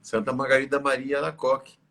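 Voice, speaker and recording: A tired, slightly hoarse male priest, leading prayers and preaching in a reverent, measured way. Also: mid-range voice, completely flat delivery, fairly bright tone. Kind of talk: live religious talk and prayer.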